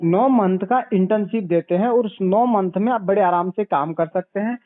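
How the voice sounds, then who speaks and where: High-pitched man on tinny phone. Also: moderate pace, no echo. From Bihar, India. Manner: didactic, repeats points and asks check-back questions. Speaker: sales consultant. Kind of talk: telephone sales pitch. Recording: narrow, tinny phone call recording.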